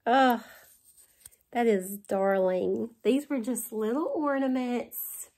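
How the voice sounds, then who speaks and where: Woman, 40s, Southern accent, haul vlogger; slightly high voice, unhurried, chatty and delighted, close camera in a living room. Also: darkish tone. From West Virginia. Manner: gushing, chatty, laughs often. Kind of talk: casual show-and-tell haul. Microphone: camera in front at close range.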